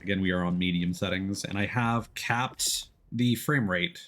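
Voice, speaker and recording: A man doing casual live gaming commentary and chatting with viewers, excitable and quick to exclaim when surprised. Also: low voice. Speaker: video game streamer.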